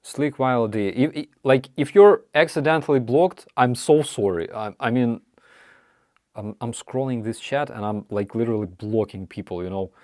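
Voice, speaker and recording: A man with a Ukrainian accent hosting a casual live chat, answering viewer questions in halting, apologetic English with many restarts. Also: mid-range voice, a little mellow, dry recording, studio quality. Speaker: livestream host.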